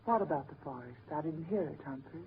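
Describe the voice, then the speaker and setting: A woman's voice: low voice, expressive, quick pace. An actress playing a bored, fretful society woman with a weary manner in a 1940s radio drama. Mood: curious. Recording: noisy, tinny 1940s radio recording.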